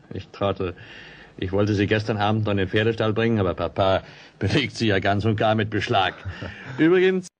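An actor playing an elegant young French bridegroom in a radio play, boastful, impulsive and hot-headed, given to exclamations. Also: male voice, lowish voice, moderate pace, natural voice. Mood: breezy, jovial. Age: young adult. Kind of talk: radio play dialogue acting.

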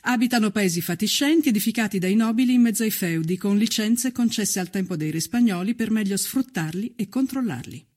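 A man narrating a scripted historical account for radio in a measured, literary manner. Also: sits high, darkish tone. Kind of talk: scripted dramatic documentary narration.

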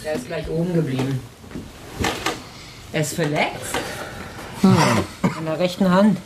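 A woman's voice: deep voice, unhurried, noisy background. An actress playing a practical, protective middle-aged woman in a radio drama. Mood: defensive.

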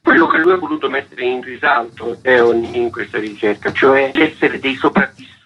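A man's voice: medium pitch, normal speed, fairly bright tone. A man, a stage actor, giving reflective answers in an interview. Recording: dull radio recording, clear background noise.

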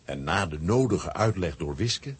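A man with a husky voice narrating a scripted children's story. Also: low-pitched, animated, unhurried.

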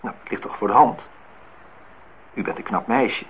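A man's voice: on the low side, normal speed, slightly rough. An actor playing a courting bachelor department head in his thirties in a radio play, formal, teasing and a little hesitant, in intimate dialogue. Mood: shy, flirtatious. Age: 30s. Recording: tinny, noisy old radio play recording.

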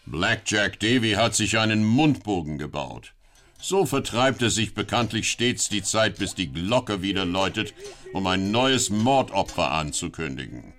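A man's voice: lowish voice, lively intonation, a little slow, a bit bright. A man playing a sly, teasing storyteller who narrates lurid robber tales like a pulp radio serial. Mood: gleefully ominous. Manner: teasing, theatrical.

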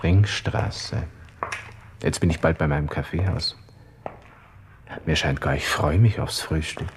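A vain young Viennese lieutenant in a radio drama, with a notably low voice. He broods aloud to himself, sliding between offhand flippancy and sentimental self-pity. There is slight noise in the recording. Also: unhurried. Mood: brooding, flippant. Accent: Viennese Austrian. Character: self-pitying, vain young Austrian army lieutenant facing his end. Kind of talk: interior monologue in a radio play.

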